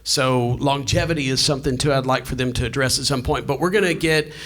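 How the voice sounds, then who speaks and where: A man's voice: mid-range voice, quick pace, a bit bright. A man moderating a live panel off the cuff, giving directions and organizing the questions as he goes. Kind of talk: unscripted event hosting.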